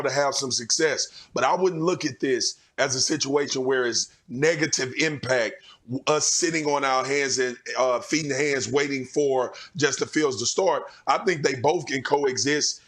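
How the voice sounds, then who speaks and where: A hoarse middle-aged man with a raspy voice, giving emphatic, conversational sports analysis as a studio analyst. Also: mid-range voice, normal speed, a bit bright. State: hoarse from cheering. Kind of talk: sports talk show analysis. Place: TV studio set.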